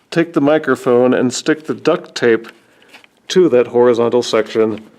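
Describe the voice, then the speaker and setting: A man hosting a do-it-yourself tutorial, walking through the steps in a measured, matter-of-fact way. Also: mid-range voice, roomy sound, rough recording. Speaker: DIY video host. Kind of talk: step-by-step tutorial narration.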